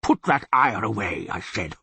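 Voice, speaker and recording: Gruff beast-man, raspy, sharp and forceful, blustering, indignant, fantasy audiobook monster. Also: fairly low pitch, expressive, normal speed, no room sound, heavily acted. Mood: grumpy. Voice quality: raspy, roaring. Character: huge gruff bear-headed humanoid monster, blustering and irascible.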